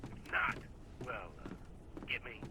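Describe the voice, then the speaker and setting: A man's voice: medium pitch, slow pace, noisy background, lightly acted. An actor playing a menacing kidnapper in a video game, taunting and bullying as he speaks.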